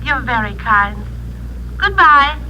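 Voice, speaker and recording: A woman's voice: high-pitched, big pitch swings, takes it slowly, full character voice. A woman playing an anxious, polite middle-aged mother in an old radio drama, stammering and apologetic on a phone call. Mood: worried. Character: anxious, polite small-town mother phoning about missing daughter. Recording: old radio, noisy telephone-like line.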